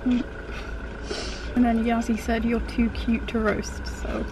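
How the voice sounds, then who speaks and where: A woman's voice: a little high, completely flat delivery, slow. A young British woman chatting casually to a vlog camera inside a car, in an informal, rambling, easily flustered way. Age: young adult. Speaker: vlogger. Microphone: camera resting on the dashboard. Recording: car noise in the background. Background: car and road noise.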